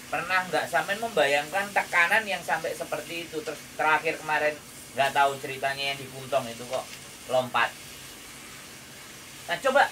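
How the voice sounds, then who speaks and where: A man with a Javanese accent giving advice in an emphatic, lecturing chat, often checking with rhetorical questions. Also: on the high side. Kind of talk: casual counselling chat. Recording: distant mic, noisy kitchen with frying.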